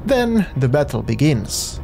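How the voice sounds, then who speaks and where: A man's voice: medium pitch, very animated, unhurried, gravelly. A man giving scripted, explanatory narration as a gaming video creator. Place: voice-over recording. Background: faint music.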